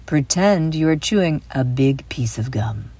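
A woman hosting a guided visualization for children, speaking in a soothing, deliberate way with gentle emphasis. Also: a bass voice, expressive, husky, dry recording. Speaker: host and guide of a children's mindfulness audio show. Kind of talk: guided visualization, scripted narration for kids.

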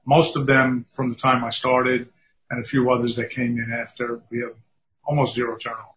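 A man's voice: mid-range voice, monotone, brisk, fairly bright tone. An older man with a New York accent, a recruiting firm founder talking as a podcast guest over a remote call, chatty with dry self-deprecating asides. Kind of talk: podcast interview. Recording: dull lo-fi remote audio.

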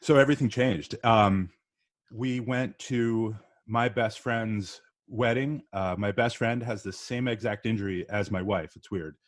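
A middle-aged man from the northeastern US, a podcast guest telling his story in a relaxed, chatty way with frequent ums and uhs. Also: lowish voice, unhurried, dry recording. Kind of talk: casual interview storytelling.

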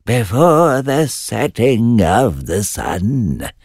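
A man voicing three ugly old witches who chant their plans in a shrill, drawn-out way. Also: very animated, darkish tone, no echo, studio quality, heavily acted. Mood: eerie chanting.

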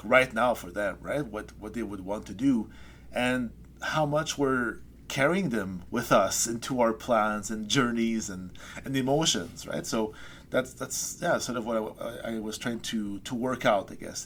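Thoughtful man with a low voice. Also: a little slow, fairly bright tone, a little hiss or hum. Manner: thoughtful, hesitant with many fillers. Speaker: poet guest. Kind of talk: poetry reading and interview.

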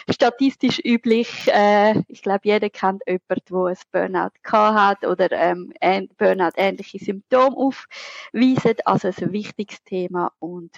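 Female podcast guest, Zurich Swiss German accent, gravelly fry in an interview delivery, dry slightly muffled recording. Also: mid-range voice, no room sound. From Zurich, Switzerland. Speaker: podcast guest, researcher and lecturer. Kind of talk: conversational interview answers.

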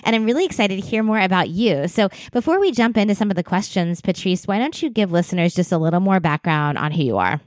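A woman in her forties hosting a podcast interview, warmly enthusiastic and encouraging toward her guest. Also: medium pitch, lively intonation, fast, hardly any pauses, no room sound, clean studio sound. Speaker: podcast host.

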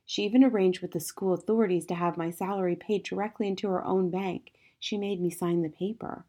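A woman playing a gentle, modest young schoolteacher in a storybook, confiding in a soft, restrained way with quiet resilience. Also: on the low side, fairly even intonation, a little fast, lightly acted. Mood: rueful, confiding.

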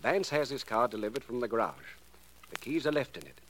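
A man acting in a 1940s radio drama as a cold, precise, self-assured criminal professor who speaks formally and deliberately. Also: medium pitch, very animated, a bit bright. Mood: controlled, watchful. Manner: deliberate, educated, formal wording. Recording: noisy vintage radio recording.

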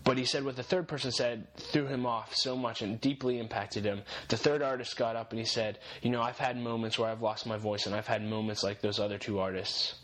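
A man's voice: medium pitch, fairly even intonation, fairly quick, few pauses, fairly bright tone, low-quality recording. Earnest young man giving faith-based encouragement. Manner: earnest, encouraging, repeats key phrases for emphasis. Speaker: podcast host.

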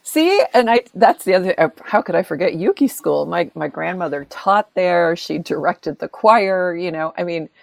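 A middle-aged American woman with a low, gravelly voice tells stories in a relaxed interview. She laughs often and drops into other people's voices as she quotes them. The sound is close and dry. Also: normal speed, hardly any pauses, no echo. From United States. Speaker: author, interview guest. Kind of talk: casual interview conversation.

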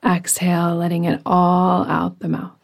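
A woman guiding a relaxation meditation in a soft, breathy, partly whispery voice with a soothing, gentle, unhurried manner. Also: lowish voice, monotone. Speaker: yoga nidra meditation guide.

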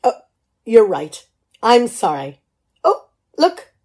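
A woman's voice: a little high, sing-song, slow, darkish tone, husky, exaggerated voice. A middle-aged woman, playing a shrewd, teasing, practical wife in a radio play. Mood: amused, practical. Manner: dry, bantering, probing. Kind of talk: amateur radio play dialogue.

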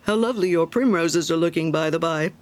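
A woman's voice: low-pitched, brisk, close to an everyday voice. A woman doing a smug, preachy miller. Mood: self-satisfied. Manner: smug, preachy, self-praising. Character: pompous, self-righteous male miller.

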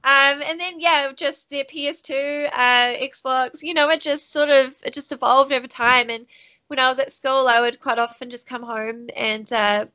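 A young woman with a New Zealand accent talks in a relaxed, chatty way, full of little laughs and fillers. Her voice sits a bit high and sounds bright. The audio is somewhat dull and lo-fi. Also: moderate pace. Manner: chatty, laughs often, many fillers and restarts. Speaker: guest, studio CEO and streamer. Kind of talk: casual podcast interview.

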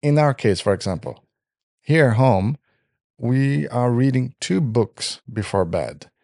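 Gravelly Portuguese-speaking man podcaster. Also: medium pitch, lively intonation, a little slow, a little mellow, dry recording, studio quality. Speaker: podcast co-host. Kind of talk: podcast narration.

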